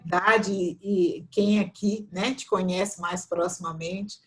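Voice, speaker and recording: A woman hosting a conversation, asking long, measured questions and praising her guest. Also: lowish voice, fairly even intonation. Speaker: host. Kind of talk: interview questions.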